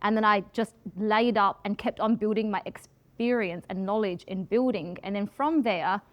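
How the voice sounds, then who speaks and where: A woman in her forties, a project manager giving a talk, with a loud voice and a direct, passionate way of speaking. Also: mid-range voice, moderate pace. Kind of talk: presentation talk with Q and A.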